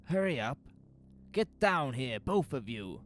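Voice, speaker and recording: A man's voice: mid-range voice, very animated, slow pace, a little hiss or hum. An actor playing a frantic, paranoid father urgently ordering his family around in a horror audio drama. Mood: commanding. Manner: urgent, forceful, insistent.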